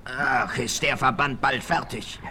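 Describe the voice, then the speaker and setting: Casting: bossy outlaw chief, sharp tense tone, commanding, sixties western audio drama. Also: male voice, mid-range voice, a little slow. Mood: pained, relieved. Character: villainous male tramp gang leader, scheming, bossy. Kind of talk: 1960s German western radio play acting. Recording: 1968 vinyl record, faint noise.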